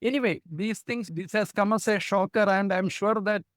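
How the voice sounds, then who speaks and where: A man's voice: high-pitched, normal speed, no echo, clean studio sound. A man with an Indian English accent, speaking earnestly as a guest in a discussion. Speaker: guest journalist. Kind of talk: opinionated discussion.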